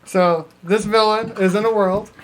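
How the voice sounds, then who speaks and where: High-voiced guy, crude and casual. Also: steady tone, normal speed, a little hiss or hum, rough recording. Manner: crude, slangy, heavy swearing. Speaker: podcast co-host. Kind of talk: casual profane chat pitching story ideas.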